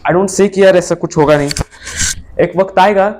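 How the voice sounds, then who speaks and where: A young man speaking an earnest motivational monologue to his viewers, mixing in English phrases and swinging between emphatic and low moments. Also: normal speed, darkish tone, a little hiss or hum. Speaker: motivational vlogger.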